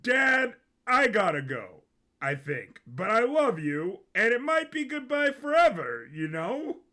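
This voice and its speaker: A flippant young prince from a storybook, put on by a male narrator. He is high and animated, talking casually with a nervous streak. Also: slow pace, exaggerated voice. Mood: nervous, affectionate.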